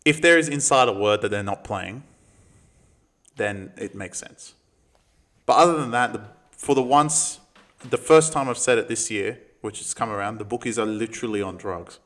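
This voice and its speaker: A man's voice: fairly low pitch, expressive, moderate pace, fairly bright tone. A man co-hosting a sports podcast, giving opinionated tips in casual, slangy banter.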